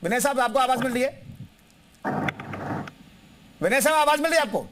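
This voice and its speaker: A man's voice: extremely high pitch, expressive, moderate pace, background noise. A man hosting a live TV news debate, insistently interjecting and addressing his guests by name. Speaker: debate show host.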